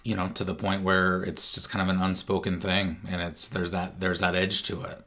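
A man's voice: deep voice, completely flat delivery, normal speed, bright, forceful tone. A man co-hosting a podcast, easygoing, restarting phrases as he talks. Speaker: podcast co-host. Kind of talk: casual podcast talk.

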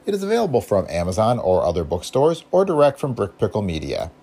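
A man's voice: on the low side, wildly swooping pitch, fast, dark tone. A man hosting a podcast, reading a scripted introduction. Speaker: podcast host.

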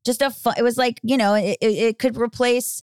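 A woman's voice: a little high, fairly even intonation, a little fast, a little mellow, clear, resonant tone, dry recording, studio quality. A woman podcast host telling stories in a rambling, filler-heavy way in casual chat.

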